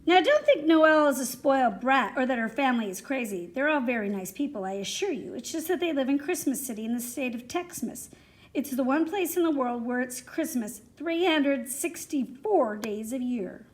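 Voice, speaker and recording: Female storyteller, high voice, animated and expressive, reading a picture book to young children. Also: moderate pace. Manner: animated, addresses young listeners with questions. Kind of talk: expressive read-aloud for children.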